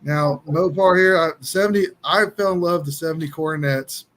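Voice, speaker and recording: A man's voice: a little high, fairly quick, fairly bright tone. A man in his thirties chatting casually on a livestream from home over a laptop, rambling with many restarts. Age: 30s. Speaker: livestream host. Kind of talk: casual chat.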